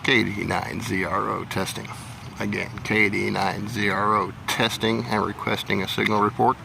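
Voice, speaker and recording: A man's voice: on the low side, flat delivery, measured pace, gravelly, noisy background. A man doing casual amateur radio test calls and narration outdoors. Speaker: amateur radio operator and vlogger. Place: outdoors in a local park.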